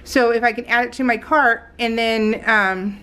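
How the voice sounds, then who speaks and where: An American woman chatting casually as a craft vlogger, telling stories in a rambling, animated way and acting out what people said. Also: fairly high pitch, moderate pace. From California, United States. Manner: rambling, animated retelling with acted-out quotes. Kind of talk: casual chat while crafting, voiced in real time.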